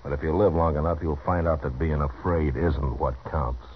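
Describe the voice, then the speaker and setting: An American actor playing a stern, seasoned US marshal in a 1950s radio Western, speaking bluntly and with authority. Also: male voice, very deep voice, normal speed. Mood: warning. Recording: muffled, noisy 1950s radio recording.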